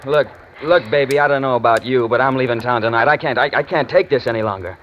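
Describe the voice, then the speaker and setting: A man's voice: medium pitch, fast talker, a little hiss or hum, lightly acted. An actor playing a tough, wisecracking young man who narrates his story in a 1940s radio drama, with clipped, sardonic delivery. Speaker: hard-boiled narrator and lead. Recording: dull old radio broadcast with music.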